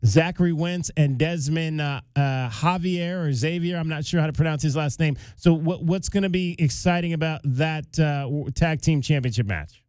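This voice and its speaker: A man's voice: on the high side, moderate pace, few pauses, darkish tone, smooth, no echo. A man hosting a sports talk radio show, interviewing a guest in a friendly way with many restarts. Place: radio studio. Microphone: studio mic.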